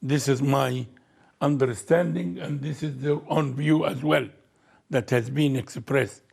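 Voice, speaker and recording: A man speaking as a guest in a debate, making his points in a measured, deliberate way. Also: medium pitch, fairly even intonation, creaky, a little mellow, husky. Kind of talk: debate discussion.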